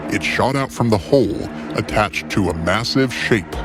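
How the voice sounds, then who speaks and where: A man with a fairly low voice reads scripted fiction as narrator. His delivery is measured and dramatic, with deliberate phrasing. Music plays clearly behind him.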